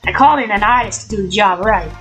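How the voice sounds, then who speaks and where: A woman's voice: mid-range voice, quick pace, fairly bright tone, faint music behind, close to an everyday voice. A woman voicing a haughty crime-family matriarch in a game dub, condescending and politely threatening. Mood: proud.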